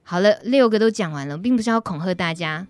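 A woman's voice: on the low side, animated, fast, darkish tone, no room sound. A woman with a Taiwanese Mandarin accent, hosting a live talk show and explaining things chattily, straight to her listeners. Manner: chatty, addresses listeners directly. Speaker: radio host.

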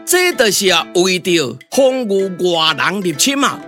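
Know-it-all pioneer soldier, Taiwanese accent, bright forceful voice, theatrical explaining, puppet radio drama, music behind. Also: mid-range voice, very animated, unhurried. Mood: lecturing.

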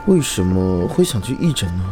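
A man's voice: sits low, sing-song, fairly quick, mellow, soft background music. A man acting in a Chinese audio drama as a police officer who is smitten, jealous and guarded. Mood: casual, interested. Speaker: voice actor.

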